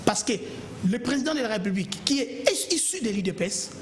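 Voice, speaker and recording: A man argues in a heated studio debate, emphatic and pleading by turns. His voice sits high and leaps all over its range. A roomy, echoing studio sound surrounds him. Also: expressive, brisk, a little hiss or hum. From Kongo Central, DR Congo. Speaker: TV debate panelist.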